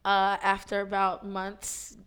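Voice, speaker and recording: Hoarse thirty-year-old woman, casual podcast host. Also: mid-range voice, takes it slowly, a crowd in the background. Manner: relaxed, slangy, with many ums. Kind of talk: casual chat.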